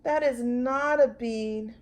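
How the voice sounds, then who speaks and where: A woman voicing an excited, sweet little girl in a storybook, with stretched, exclaiming words. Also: a little high, slow. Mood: surprised.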